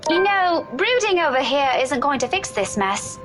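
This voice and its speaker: A woman's voice: high voice, sing-song, normal speed. An actress playing a blunt, sarcastic young woman in a game. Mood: teasing. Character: sharp-tongued young woman, blunt and teasing. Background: faint game music.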